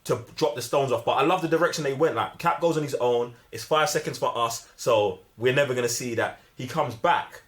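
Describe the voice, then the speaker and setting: A man's voice: mid-range voice, brisk, hardly any pauses, fairly bright tone. Enthusiastic London man in casual film chat. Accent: London British. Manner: enthusiastic, slangy, repeats himself for emphasis. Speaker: film review panellist.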